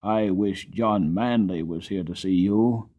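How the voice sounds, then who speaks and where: A man voicing an excitable, kindly young groom in a storybook, exclaiming and musing with affection. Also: low voice, slow. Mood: delighted, fond. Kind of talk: dramatic storybook character reading.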